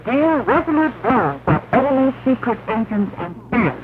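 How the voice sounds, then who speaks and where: A woman's voice: on the high side, sing-song, phone-quality sound. Woman announcer on noisy 1960s shortwave radio. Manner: formal, measured, declamatory reading. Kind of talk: 1960s shortwave propaganda news broadcast.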